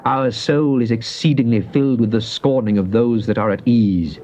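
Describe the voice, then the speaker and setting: A man's voice: mid-range voice, steady tone, a little mellow, lightly acted. Solemn man acting as devout psalmist. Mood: pleading. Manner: solemn, measured, theatrical. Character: devout psalmist pleading and praising God. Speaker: scripture reader. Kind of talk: dramatized King James Bible reading. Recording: dull, noisy old cassette tape.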